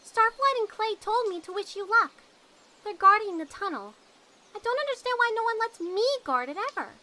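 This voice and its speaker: A woman's voice: extremely high pitch, lively intonation, moderate pace, pushed and bright, exaggerated voice. A woman voicing a small young dragon in a fan dub, loyal, cheery and a little huffy.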